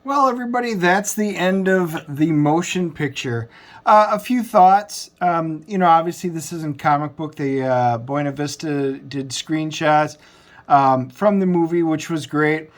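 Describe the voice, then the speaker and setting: A man's voice: fairly high pitch, unhurried. A man hosting a review in a casual, rambling, off-the-cuff way. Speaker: video host and reviewer.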